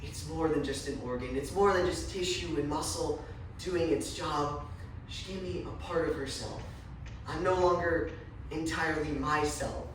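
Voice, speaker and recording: A woman's voice: low voice, normal speed, natural voice. A woman playing a reflective, grateful mother in a dramatic stage monologue. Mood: reflective, conflicted. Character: reflective, grateful mother living with donated heart. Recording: very echoey hall, distant, faint noise.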